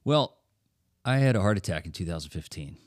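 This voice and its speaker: An older man with a low voice tells stories in an animated, joking way as a podcast guest. The sound is close and dry, with no room echo. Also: brisk. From Netherlands. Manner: animated, jokey storyteller. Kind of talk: casual interview chat.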